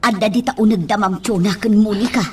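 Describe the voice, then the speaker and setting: A woman's voice: medium pitch, quick pace, distant mic, slight background noise, lightly acted. A woman with an Ilocano accent playing a hospitable, worried household woman in a radio drama. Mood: surprised, welcoming. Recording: off-mic radio drama over clear music.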